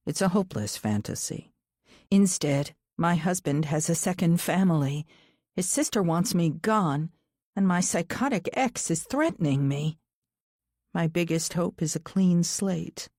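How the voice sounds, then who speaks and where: Female audiobook narrator for a first-person thriller, deep-registered and soft-edged in tone, very dry recording. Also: expressive, measured pace, dry recording.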